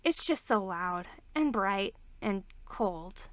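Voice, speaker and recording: A woman's voice: medium pitch, lively intonation, slow pace, no room sound. A woman voicing a blunt, socially awkward princess inventor in a storybook reading. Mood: downcast.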